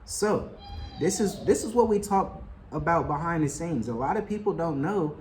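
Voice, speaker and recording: An American man co-hosting a casual chat, talking in a relaxed way with repeats and fillers. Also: fairly high pitch, moderate pace, soft background music. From United States. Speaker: co-host.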